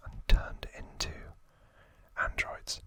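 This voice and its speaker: A man whispering softly in a breathy, unhurried ASMR style as he explains trivia informally, pausing between short phrases. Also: no room sound. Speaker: ASMR video host.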